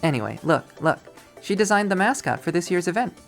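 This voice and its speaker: A performer voicing a bubbly but touchy young woman in a visual novel, with an animated, emphatic, girlish manner. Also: mid-range voice, a little fast, creaky, faint music behind, voice pushed up. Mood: bright, chatty.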